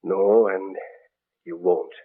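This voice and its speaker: An actor playing a grieving older father in a 1940s radio drama, halting and solemn, on a muffled vintage recording. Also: deep voice, steady tone, breathy edge, exaggerated voice. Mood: solemn grief. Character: troubled, grieving older father of a grown daughter.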